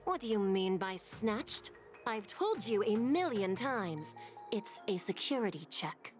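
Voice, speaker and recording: Game role: dutiful female official actress, expressive pitch movement, formal, insistent, explanatory, faint music underneath. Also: measured pace, close to an everyday voice. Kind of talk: video game voice acting.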